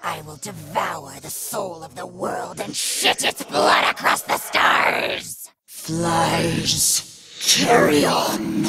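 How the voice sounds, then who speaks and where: Gravelly, menacing demon-possessed woman. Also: low-pitched. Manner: slow, incantatory, swelling from whisper to shout. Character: demon-corrupted woman, dark, menacing, chanting of death and decay. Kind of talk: theatrical video game villain monologue. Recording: music under the voice. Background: music.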